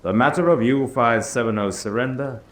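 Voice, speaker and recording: An actor playing a grave senior German naval officer held prisoner, speaking in formal, measured announcements in a solemn radio drama style. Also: male voice, mid-range voice, a bit bright, natural voice. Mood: solemn, firm. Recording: noisy drama track with ambience.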